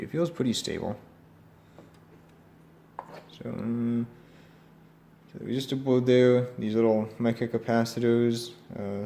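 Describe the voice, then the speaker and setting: A man giving an unscripted hands-on walkthrough, musing aloud in a tentative, halting way. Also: on the low side, darkish tone. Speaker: radio repair hobbyist presenting. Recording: roomy echo, background noise, varying distance.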